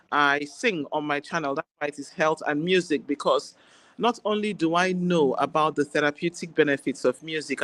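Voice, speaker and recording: A woman with a Nigerian accent, an Igbo speaker, talking as a guest doctor in a relaxed interview, chatty and quick to laugh. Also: low voice, dry recording. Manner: warm, chatty, laughs easily. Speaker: guest, general practitioner. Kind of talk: casual interview chat.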